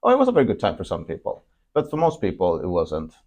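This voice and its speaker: A man's voice: low-pitched, wildly swooping pitch, fast talker, darkish tone. A young man from Sweden, whose first language is Spanish, chatting bluntly as a podcast guest and joking with plenty of swearing. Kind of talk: casual chat.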